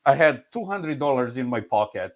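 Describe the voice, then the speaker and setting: A man in his forties with a Serbian accent tells his story in a loose, halting way, restarting often and laughing softly. His pitch sits in the middle range. The audio is a bit muffled and lo-fi. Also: big pitch swings. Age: 40s. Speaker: insurance claims manager. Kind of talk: casual interview answers.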